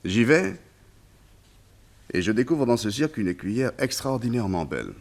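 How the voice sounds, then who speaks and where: A man's voice: mid-range voice, big pitch swings, moderate pace. An actor playing a wistful former colonial officer turned circus tamer in a French radio serial, telling his story in a conversational, hesitant way. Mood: nostalgic.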